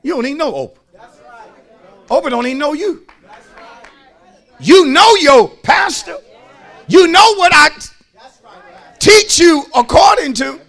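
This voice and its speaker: An American man preaching a fervent sermon as a pastor, raspy and gravelly, folksy and emphatic, repeating phrases and swinging from hushed asides to shouting. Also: extremely high pitch, very animated, unhurried, long pauses, some chatter behind.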